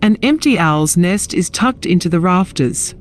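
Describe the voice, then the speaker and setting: A woman's voice: fairly low pitch, animated, unhurried. A woman narrating a scripted fantasy audio drama in a descriptive storytelling style. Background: music.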